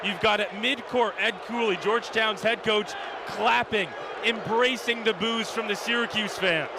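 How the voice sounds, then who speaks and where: A man calling live basketball play-by-play on radio with an energetic, excitable delivery. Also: high-pitched, normal speed, a crowd in the background. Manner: energetic, rising excitement on big plays. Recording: loud arena noise behind the voice. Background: basketball arena with bouncing ball.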